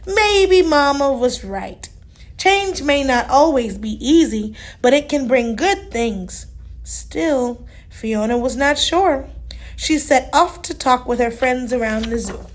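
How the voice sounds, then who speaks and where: A woman reading a children's story aloud as an expressive storyteller. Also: high-pitched, takes it slowly. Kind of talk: expressive scripted storybook narration.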